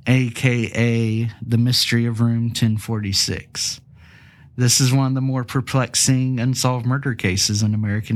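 A man hosting a true crime podcast, sounding stuffy from a cold, telling the case with casual, jokey asides. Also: fairly low pitch. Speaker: podcast host.

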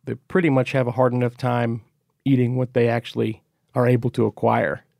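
A man's voice: mid-range voice, flat delivery, brisk, vocal fry, darkish tone, no echo, clean studio sound. A man in a casual podcast chat, talking in a laid-back, hesitant way.

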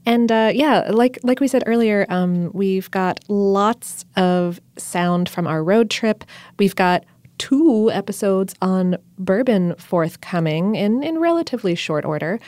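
A woman co-hosting a food podcast, enthusiastic and quick to laugh, sometimes stumbling over her words. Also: medium pitch, animated, a little mellow, no room sound. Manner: enthusiastic, laughs often, a little halting. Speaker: podcast co-host. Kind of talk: casual chat.

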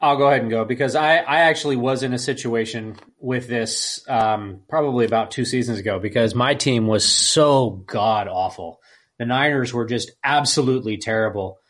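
A man's voice: mid-range voice, normal speed, a bit bright. A man co-hosting a podcast, chatting casually in a hesitant, rambling way. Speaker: podcast co-host. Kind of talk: casual panel chat.

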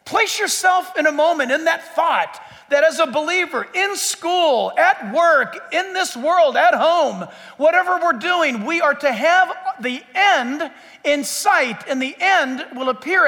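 A man's voice: extremely high pitch, expressive, a little slow, sharp tone, long reverb tail. A man preaching a sermon as pastor, with an emphatic pulpit cadence.

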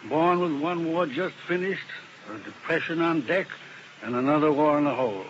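Actor as wistful rambling lawyer, lively pitch, muffled radio. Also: male voice, moderate pace, a little hiss or hum, lightly acted. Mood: rueful. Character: male defense lawyer, fussy with ulcers. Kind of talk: 1950s radio drama acting. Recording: muffled old radio recording.

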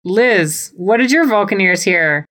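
A woman with an average-pitched voice co-hosts a podcast. Her talk is casual and blunt, the loose, sweary chat of a fan among friends. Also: lively intonation, unhurried, a bit bright. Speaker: podcast co-host.

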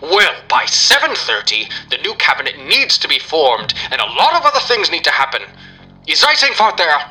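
A man's voice: fairly high pitch, huge pitch swings, normal speed, faint music behind, voice pushed up, heavily acted, poor audio. A man voicing a domineering, impatient Nazi commander in a history podcast drama, through a telephone filter. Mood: angry, demanding. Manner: impatient, forceful, demanding.

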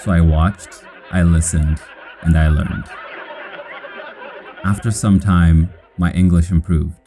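A man from Kenya with an American accent, narrating his own life in measured, scripted, plainly worded short sentences. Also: deep voice, fairly even intonation, noisy background. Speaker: storyteller.

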